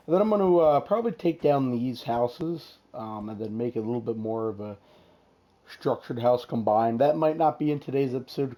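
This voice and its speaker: Hoarse, rambling male gaming host. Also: medium pitch, dark tone. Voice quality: rough. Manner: rambling, many fillers and restarts. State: hoarse, losing his voice from illness. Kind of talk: casual gameplay commentary.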